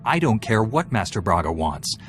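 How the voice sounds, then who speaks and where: An actor playing a confident, defiant Jedi warrior in a video game, blunt and confrontational. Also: male voice, on the low side, sing-song, moderate pace, soft background music. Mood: resolute. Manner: blunt, confrontational, self-assured. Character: confident, defiant young male Jedi warrior turning dark. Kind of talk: cinematic video game acting.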